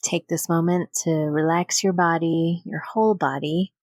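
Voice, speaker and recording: A woman leading a guided meditation in a gentle, soothing, unhurried manner with long pauses between phrases. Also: low voice, steady tone, no echo, clean studio sound.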